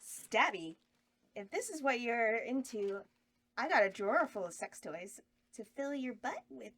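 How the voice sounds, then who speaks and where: Lady reading a flirty campy horror hostess, high voice bouncing all over. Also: animated, a little slow, a bit bright, breathy edge, full character voice. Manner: campy, playful, sultry. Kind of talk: table read of a comedy horror script.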